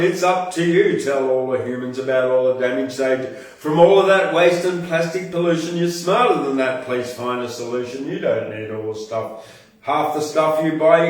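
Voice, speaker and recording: An Australian man hosting a poetry show, reciting and chatting in a rhythmic, theatrical way. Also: on the high side. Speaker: poetry show host and poet. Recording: very echoey room, distant from mic.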